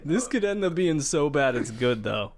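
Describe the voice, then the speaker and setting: A man's voice: a little high, sing-song, moderate pace. A man co-hosting a podcast in casual, conversational gaming chat. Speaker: podcast co-host.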